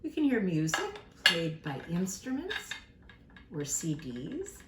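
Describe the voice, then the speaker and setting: A woman giving a simple lesson to young children, speaking deliberately, repeating key words and acting out examples. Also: on the low side. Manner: animated, acts out examples with props. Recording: echoey room with faint background noise.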